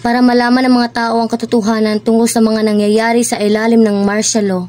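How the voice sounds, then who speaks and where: A woman's voice: a little high, flat delivery, brisk, darkish tone, close to an everyday voice. A woman playing a cautious, serious underground activist in an amateur radio drama. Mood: serious, guarded. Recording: faint noise, rustling ambience behind.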